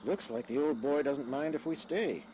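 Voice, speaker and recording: A man acts a young husband stranded on his travels in an audio drama. He is easygoing, polite and a little wry. His voice sits at a middle pitch over faint noise. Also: moderate pace, mellow, close to an everyday voice, poor audio. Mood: wry, casual.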